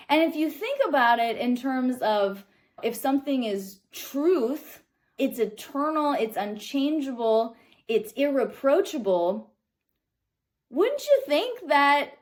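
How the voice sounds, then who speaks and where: An American woman in her thirties giving an informal spiritual reflection, earnest and emphatic, often checking in with the listener. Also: high-pitched. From Kansas City, United States. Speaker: spiritual speaker and host.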